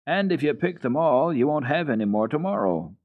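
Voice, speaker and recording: A man's voice: fairly high pitch, sing-song, fairly quick, narrow, tinny audio, voice pushed up. A man voicing a bossy, anxious young goatherd boy in a storybook reading, calling out and scolding. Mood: urging.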